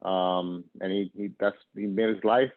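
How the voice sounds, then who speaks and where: A man's voice: deep voice, level tone, unhurried. A man from northern Argentina talking casually as an interview guest, hesitant and digressive. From northern Argentina, moved to the US at ten. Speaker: interview guest, nonprofit executive director. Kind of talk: casual conversational self-introduction. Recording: phone-like, narrow radio-style call audio.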